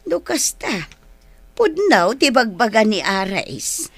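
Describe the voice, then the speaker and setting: A woman's voice: on the high side, big pitch swings, slow, a bit bright, no echo, full character voice. A woman with an Ilocano accent acting a wary, protective middle-aged mother in a radio drama. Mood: grudging, cautioning. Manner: questioning, grudging.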